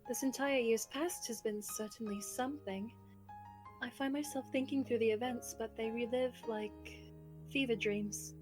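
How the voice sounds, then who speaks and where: A woman voicing a devoted, worried newlywed wife, refined and formal, speaking gently and in a measured way in an audio roleplay. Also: a little high, faint music behind, close to an everyday voice. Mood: wistful, uneasy.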